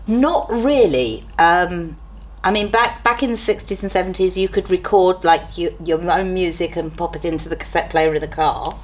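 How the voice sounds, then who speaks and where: A woman's voice: on the low side, moderate pace. An older woman answering interview questions in a casual, reminiscing way. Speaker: interviewee from a college.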